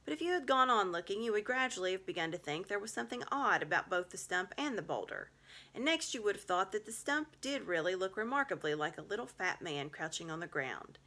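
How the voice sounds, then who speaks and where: A woman's voice: medium pitch, lively intonation, a little fast, pushed and bright. A middle-aged woman reading a children's story aloud at home. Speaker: reader. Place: small home room, indoors.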